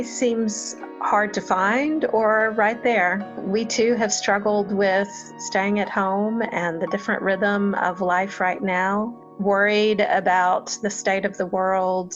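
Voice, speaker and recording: A woman's voice: mid-range voice, lo-fi sound. A woman pastor giving a thoughtful, reflective interview answer, often laughing at herself.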